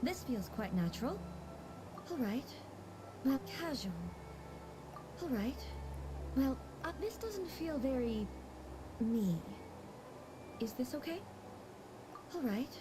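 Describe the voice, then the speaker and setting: A woman's voice: high voice, very animated, slow pace, pauses often, noisy background, heavily acted. A woman playing a reserved, stiff shogun in a video game, speaking formally with earnest, naive puzzlement. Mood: hesitant. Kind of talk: video game character acting.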